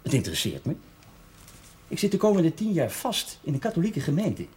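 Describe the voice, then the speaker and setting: An actor playing an educated, playful newcomer to a village, speaking wry banter in a television drama. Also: male voice, a little high, wildly swooping pitch, a little fast, dark tone, slight background noise. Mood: wry, curious.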